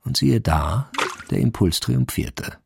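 A man's voice: medium pitch, animated, slow, creaky, slight background noise, heavily acted. An actor playing a sober, wry male narrator, reading scripted literary narration in a radio crime drama. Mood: wryly detached.

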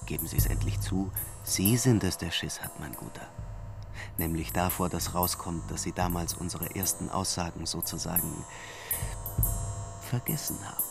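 A man's voice: deep voice, normal speed, vocal fry, music in the background. An actor playing an arrogant, cold-blooded man in a radio drama, mock-polite and condescending. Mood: smug, cold. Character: arrogant, cold-blooded male far-right schemer, condescending and menacing. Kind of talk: naturalistic radio drama acting.

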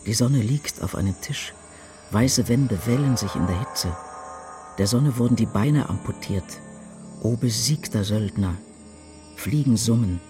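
An actor as low-voiced grim apocalyptic poet, over music. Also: male voice, natural voice. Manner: declamatory, incantatory short phrases. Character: grim male poetic narrator of apocalyptic visions. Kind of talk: dramatic poetry recitation in a radio play.

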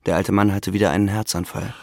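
Low, creaky male narrator. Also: fairly even intonation, quick pace, darkish tone, no room sound, studio quality. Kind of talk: scripted literary narration.

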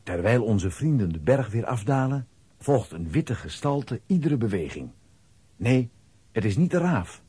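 A man with a husky voice narrating a scripted children's audio play as storyteller. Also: mid-range voice, very animated, unhurried, warm tone, no echo.

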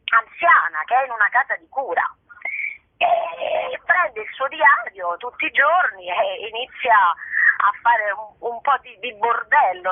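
A woman's voice: a little high, no room sound. A woman chatting spontaneously in an interview as an actress, digressing and searching for words, speaking over the phone. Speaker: actress and artistic director interviewed about her show. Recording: thin, band-limited phone line.

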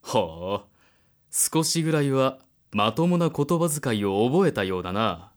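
A man's voice: mid-range voice, big pitch swings, unhurried. An actor playing a contemptuous man in authority in an audio drama, with a mocking, commanding manner. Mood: cold, mocking. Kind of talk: Japanese audio drama acting.

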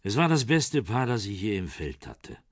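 Low-voiced, worn-down old East German spymaster. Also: male voice, big pitch swings, normal speed, slightly rough, dry recording, studio quality, exaggerated voice. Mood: scornful, proud. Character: heavyset former East German intelligence officer, defensive, sardonic, worn-down. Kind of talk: German radio crime drama acting.

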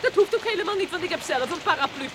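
An actress playing a spirited, bossy young helper of an inventor in a children's radio play. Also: female voice, very high voice, animated, racing. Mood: indignant, bickering. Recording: clearly noisy radio play recording.

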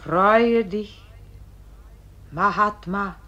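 An actress playing a solemn, gentle celestial voice that guides a soul, speaking in a measured, exalted, incantatory way in a radio play. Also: female voice, mid-range voice, highs cut off. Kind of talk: solemn classic German radio play acting.

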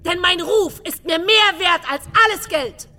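An actress playing a self-righteous, easily offended wife in a historical radio crime drama, speaking in a prim, indignant manner. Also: female voice, very high voice, moderate pace, sharp tone.

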